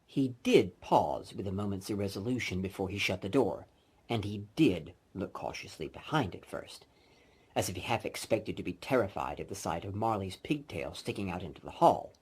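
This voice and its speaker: Husky, theatrical male storyteller. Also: low voice, animated, normal speed. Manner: theatrical, swinging from emphatic to hushed. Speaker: audiobook reader. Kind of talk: dramatic scripted story narration.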